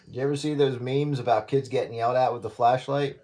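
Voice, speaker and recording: A man's voice: mid-range voice, steady tone, quick pace, very noisy. A man chatting casually over a radio link with a dry, wry manner. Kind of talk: casual group chat.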